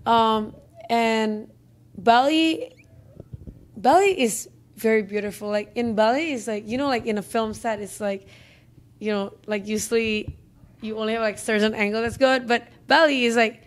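Young Indonesian-accented woman director, slightly high voice, loose and chatty interview delivery. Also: normal speed. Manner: chatty, many fillers and restarts. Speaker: film director. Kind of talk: interview answers. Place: office conference room, indoors. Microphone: handheld mic.

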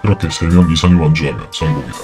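An actor playing a cold, commanding unseen game master who announces cruel missions in an audio drama. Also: male voice, a bass voice, racing, over music.

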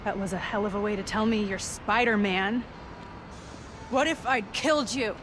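A woman's voice: medium pitch, normal speed, background noise, natural voice. A young American woman playing a hurt, stubborn avenger in a game cutscene, speaking in a blunt, intense way. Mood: angry, hurt. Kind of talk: video game cutscene acting. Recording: music behind the voice.

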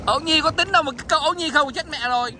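A man fishing on a boat outdoors, chatting excitedly with loud exclamations and blunt swearing. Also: very high voice, very fast, noisy background. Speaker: fishing vlogger.

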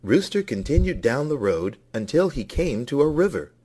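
A man's voice: medium pitch, very animated, normal speed, mellow, husky. A man narrating a scripted children's story as a read-along.

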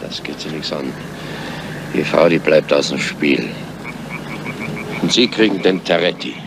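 An actor playing a tough, suspicious criminal on the run in a radio crime drama, talking in a curt, sarcastic, menacing way. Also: male voice, extremely low pitch, unhurried, vocal fry, full character voice. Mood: wary, gruff. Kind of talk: 1970s German crime radio drama acting. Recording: noisy old radio drama recording.

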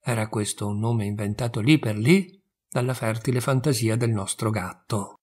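A man in his sixties from Italy, reading a fairy tale aloud slowly and expressively. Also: lowish voice, slightly rough. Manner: slow, measured, expressive. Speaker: storyteller.

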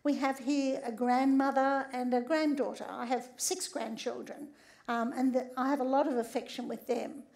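Croaky older woman lecturing. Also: high voice, steady tone, normal speed, darkish tone. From Australia. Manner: conversational, often tags lines with "right?". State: a bit croaky. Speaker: speaker giving a public talk. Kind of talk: lively public lecture. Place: large theatre stage.

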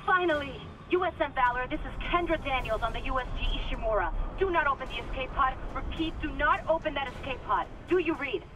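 A woman's voice: high voice, animated, brisk, hardly any pauses, loud music behind the voice. An actress playing an urgent young woman technician in a dramatic science fiction game.

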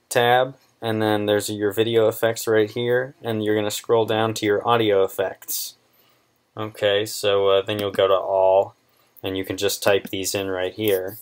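A man in his twenties presenting a casual software tutorial in an informal, halting, unscripted way. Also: lowish voice. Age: 20s.

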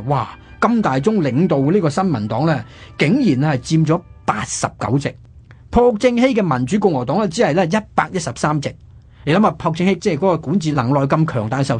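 A man's voice: on the high side, a little mellow, husky. A male radio host with a Hong Kong Cantonese accent, telling history conversationally and emphatically with rhetorical questions.

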